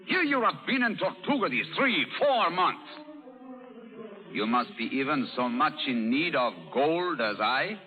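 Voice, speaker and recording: An actor playing a boastful French pirate captain in a radio drama, effusive and theatrical, with a thick French accent and broken English. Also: male voice, on the high side, very animated, a little slow, long reverb tail, soft background music. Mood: jovial, persuasive. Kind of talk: 1930s radio drama acting. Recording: dull old radio recording.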